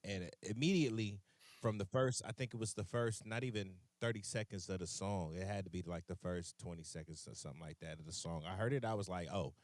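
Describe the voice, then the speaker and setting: A man's voice: low-pitched, fairly quick, no echo. A young man hosting a radio interview in a laid-back, slangy way, often repeating himself as he talks. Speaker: radio show host. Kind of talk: casual interview chat.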